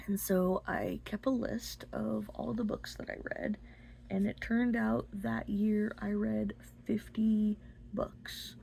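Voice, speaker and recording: A woman hosting an unscripted book talk, speaking in a halting way with pauses mid-phrase. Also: medium pitch, creaky, a little mellow, a little hiss or hum, rough recording. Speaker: book review host.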